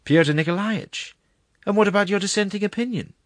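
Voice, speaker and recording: A man's voice: fairly low pitch, normal speed, breathy edge, dry recording, studio quality. A man voicing a wheedling, gluttonous court secretary in a storybook reading, savouring his words in a confiding, coaxing way. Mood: dismayed, pleading.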